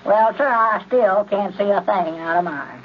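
An actor playing a fretful, excitable old country storekeeper with a rural Southern accent in a 1930s radio comedy. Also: male voice, high-pitched, moderate pace. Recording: dull, noisy old radio recording.

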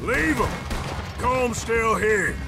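An actor playing a gruff, commanding American gunman of the Old West in a western video game, blunt and bossy. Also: very high-pitched, lively intonation, very slow, heavily acted. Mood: urgent. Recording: music behind the voice.

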